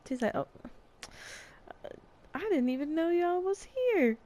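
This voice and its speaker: A woman's voice: high-pitched, lively intonation, unhurried, dark tone. A woman doing casual, slangy, playful live commentary as she streams gameplay.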